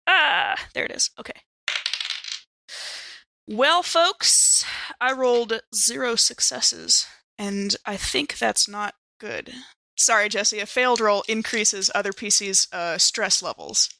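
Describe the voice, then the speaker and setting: A woman's voice: mid-range voice, slow pace, gravelly, bright, forceful tone, dry recording, low-quality recording. A woman chatting casually as a player in a tabletop role-playing game.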